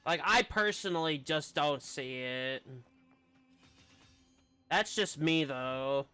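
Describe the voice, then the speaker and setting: Bright, clear-voiced man commenting on a game. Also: on the high side, lively intonation, slow, faint music behind. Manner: offhand, opinionated. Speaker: game streamer. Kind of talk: casual live gameplay commentary.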